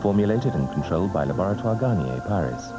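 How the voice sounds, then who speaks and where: A man's voice: low-pitched, big pitch swings, quick pace, loud music behind the voice. A man reading a scripted product commercial as a voice-over. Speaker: advert voice-over.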